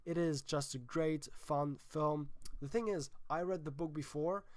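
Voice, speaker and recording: A man's voice: a little high, unhurried, a little mellow, no echo. A young man with a German accent, hosting his own film vlog and sick, talking in a chatty, emphatic way with restarts. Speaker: film vlogger, host. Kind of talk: casual vlog chat.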